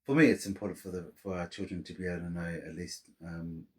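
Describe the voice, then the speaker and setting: A middle-aged man from Samoa, speaking. His voice sits very low and sounds husky. He talks as a podcast guest, winding through his thoughts with restarts and fillers. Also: fairly even intonation, quick pace. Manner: rambling, restarts and fillers, warm laughter-prone storytelling. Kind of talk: casual interview chat.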